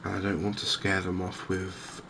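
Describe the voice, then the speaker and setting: A man softly narrating a game in ASMR style, hushed and hesitantly thinking aloud. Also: deep voice, monotone, moderate pace. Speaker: gaming video host. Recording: noticeable background ambience noise. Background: game ambience.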